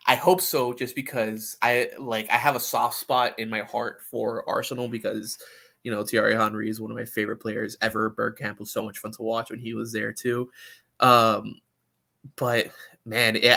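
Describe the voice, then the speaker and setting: A man's voice: medium pitch, creaky, sharp tone. A man hosting a sports podcast, chatting casually about soccer and often repeating words as he thinks aloud.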